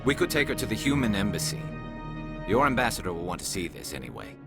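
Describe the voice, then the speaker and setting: A man's voice: lowish voice, big pitch swings, fast talker, vocal fry. A man voicing an earnest, driven alien police investigator in a video game, speaking intensely and directly. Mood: urgent. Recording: game dialogue over music.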